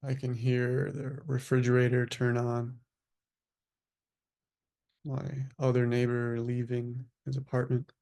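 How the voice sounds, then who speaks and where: A man leading a guided meditation as a teacher, speaking in hushed, sparse phrases with long pauses. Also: medium pitch, completely flat delivery, gravelly.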